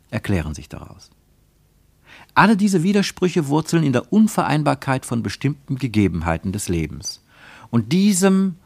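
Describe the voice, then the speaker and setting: A man's voice: lowish voice, no room sound. A man narrating a literary radio play in a dramatic, emphatic manner.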